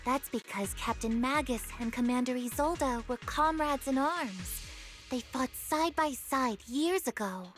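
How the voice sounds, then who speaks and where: A woman's voice: high voice, measured pace. A woman playing a dutiful squad member in a video game, explaining things matter-of-factly. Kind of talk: scripted video game voice acting. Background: game music.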